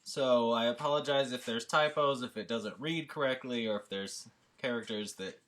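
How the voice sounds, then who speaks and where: Bright-voiced man hosting casually. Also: mid-range voice, moderate pace. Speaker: host. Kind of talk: casual livestream introduction.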